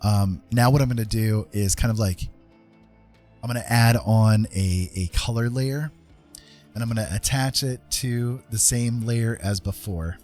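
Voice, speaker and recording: A man speaks in a fairly low voice over soft background music. He hosts a casual art stream, talking through each step as he goes. His delivery is halting and rambling, like someone working things out aloud. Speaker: livestream host, digital artist. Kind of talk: casual chat while drawing on a livestream.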